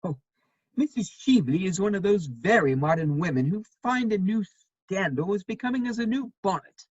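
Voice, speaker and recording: A woman playing a worldly, witty gentleman counselling a friend in a dramatic play reading, with wry, measured phrasing. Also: mid-range voice, lively intonation, husky, no room sound, muffled recording. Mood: flippant, wry.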